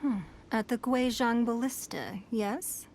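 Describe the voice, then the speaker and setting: A woman playing a poised, wealthy, shrewd lady ruler in an anime video game, elegant, formal and quietly amused. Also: fairly high pitch, takes it slowly, vocal fry, very noisy. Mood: knowing, measured. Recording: music playing behind the voice.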